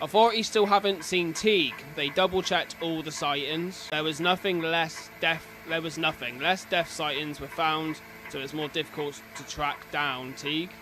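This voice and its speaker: A British man with a slightly high voice is streaming a live reaction. He moves between reading aloud and informal, chatty asides. Clear noise sits behind his voice throughout. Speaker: streamer reacting to horror videos.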